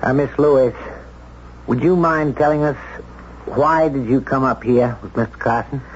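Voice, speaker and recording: A man playing a calm, skeptical sheriff in a 1970s radio drama, questioning in a measured, probing way. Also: mid-range voice, natural voice. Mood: skeptical, dry. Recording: dull, hissy vintage radio recording.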